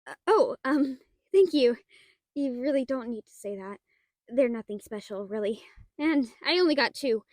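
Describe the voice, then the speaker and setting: A woman playing a shy, nerdy young half-dragon librarian in an audio roleplay, flustered and halting, bookish and given to theatrical indignation. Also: a little high, lively intonation, darkish tone, no echo, studio quality. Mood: bashful, flustered. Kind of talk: audio roleplay acting, addressed to the listener.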